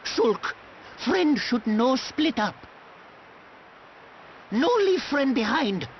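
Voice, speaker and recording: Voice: high voice, big pitch swings, very slow, exaggerated voice. An actor voicing a small, childlike, loyal creature in a video game, pleading in simple broken grammar. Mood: plaintive, scared. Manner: simple broken grammar, third-person self-reference. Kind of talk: cartoonish game voice acting. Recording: muffled game audio with faint hiss.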